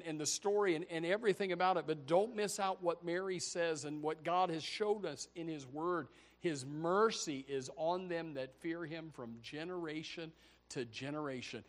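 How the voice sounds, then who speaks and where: A man in his forties delivers a church sermon with a voice set a little higher than most men's. He surges into loud, emphatic peaks, then sinks into low, quiet stretches, hammering home the same phrases again and again. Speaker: pastor. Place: church service before a congregation.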